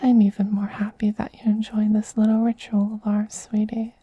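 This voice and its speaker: A man voicing a doting, possessive femboy vampire queen in a soft, breathy whisper, slow, tender and gently commanding, as in an ASMR roleplay. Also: sits high, completely flat delivery, dry recording. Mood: indulgent, intimate.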